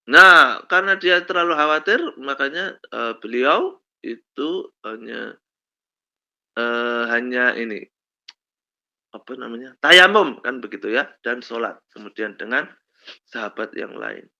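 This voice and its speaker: A male lecturer with a slight cold, telling stories slowly with many fillers in a lesson on Islamic law. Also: medium pitch, animated, creaky, pushed and bright. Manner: slow storytelling with many fillers and "kan begitu ya". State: has a cold, coughs. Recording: dull lo-fi recording.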